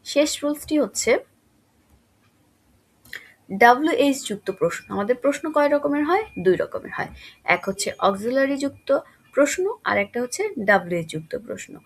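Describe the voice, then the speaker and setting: Husky, high-pitched woman teaching. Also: very animated, normal speed, pauses often. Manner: instructive, asks and answers own questions. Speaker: English grammar teacher. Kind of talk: explanatory lesson for schoolchildren.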